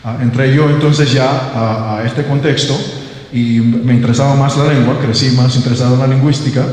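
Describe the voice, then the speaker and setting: Young man, from Panama, English native speaker, hesitant lecturer full of fillers, middle-pitched voice, very echoey hall with long reverb. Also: steady tone, fairly quick, a little mellow. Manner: hesitant, many fillers and restarts. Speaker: linguist presenting at a seminar. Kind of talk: academic presentation. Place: seminar room.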